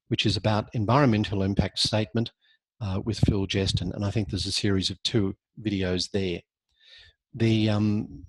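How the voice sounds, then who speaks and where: A man giving a recorded university law lecture, his voice hoarse and husky as he loses it. He speaks a little low and goes at a measured pace, pausing on ums and correcting himself. The sound is dry and close. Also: dry recording, low-quality recording. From Australia. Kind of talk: online lecture walkthrough.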